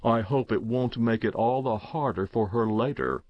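A man's voice: mid-range voice, normal speed, no room sound, muffled recording, natural voice. A man reading aloud, voicing a wry, indulgent middle-aged uncle who talks in a dry, questioning way.

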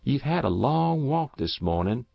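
A man's voice: mid-range voice, sing-song, measured pace, vocal fry, no room sound, pitched above the performer's own voice, heavily acted. A man voicing a stout, kindly old countrywoman who fusses over a guest, with a twangy rural way of talking. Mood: fussing. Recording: dull muffled dry recording.

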